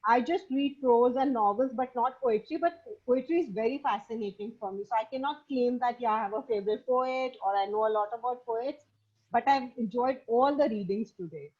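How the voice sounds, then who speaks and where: High-voiced woman on a lo-fi call. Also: a little fast, darkish tone, slightly rough. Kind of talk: casual discussion talk.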